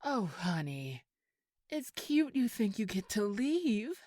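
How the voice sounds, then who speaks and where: A woman's voice: mid-range voice, very animated, takes it slowly, darkish tone, dry recording, studio quality. An actress playing a sly villainous woman in an audio drama, condescending with feigned sweetness. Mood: sweetly menacing. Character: sly, scheming villainous old flame, woman. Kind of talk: scripted audio drama acting.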